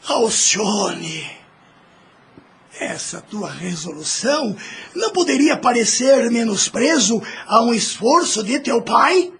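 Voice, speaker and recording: A man playing a gentle, solemn old grandfather in an audio drama, speaking formally and paternally with a husky, creaky voice. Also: extremely high pitch, expressive, slow, slight background noise, full character voice. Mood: earnest, concerned.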